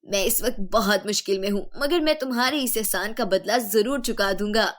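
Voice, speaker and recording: A woman's voice: medium pitch, fairly quick, lightly acted. A woman voicing a kind, earnest young man who speaks in a reassuring way.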